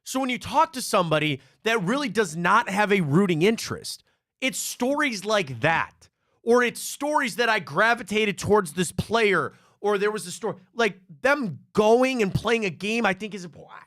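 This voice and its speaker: A man from Cleveland hosting a live sports talk show, telling stories in an animated way and often repeating himself. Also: sits high, normal speed, dry recording, studio quality. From Cleveland, Ohio.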